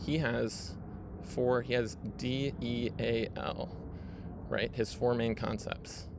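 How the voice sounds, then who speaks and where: A man's voice: medium pitch, flat delivery, slow pace, gravelly, background noise. A man hosting his own video, casually walking viewers through his screen with lots of fillers and "right?" tags. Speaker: video host. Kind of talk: casual screen-share walkthrough.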